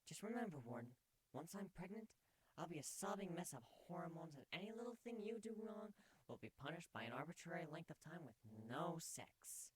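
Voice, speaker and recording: A man's voice: a little high, big pitch swings, moderate pace, pitched above the performer's own voice, poor audio. A man voicing a playful, teasing female pony with blunt wit in a fan fiction reading.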